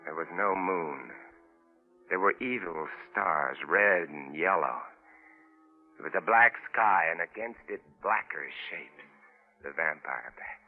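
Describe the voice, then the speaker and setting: A male actor playing a tense stranded adventurer, telling his jungle ordeal in a dramatic, deliberate old-time radio drama style. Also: deep voice, animated, full character voice. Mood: grim, haunted. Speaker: actor narrating and playing lead. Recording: tinny vintage radio broadcast with music behind.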